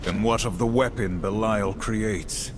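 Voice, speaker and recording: An actor voicing a stern, proud, battle-hungry warrior in a video game, terse, solemn and resolute. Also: male voice, fairly low pitch, steady tone, measured pace, background noise, close to an everyday voice. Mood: defiant. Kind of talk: cinematic video game acting.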